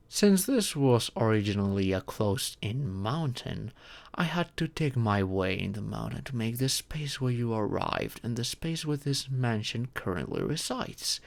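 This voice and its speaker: A man voicing a gentle, formal dragon who has taken in a child, speaking in a measured, theatrical way for an audio roleplay. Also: medium pitch, creaky. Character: gentle, stately dragon adopting a sacrificed child. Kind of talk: audio roleplay addressed to the listener.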